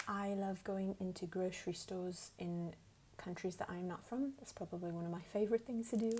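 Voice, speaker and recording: A woman from the United States with a put-on English accent, speaking softly and at times whispering as she unhurriedly answers questions for an ASMR video. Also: lowish voice, steady tone, normal speed, a little hiss or hum. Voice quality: soft, partly whispered.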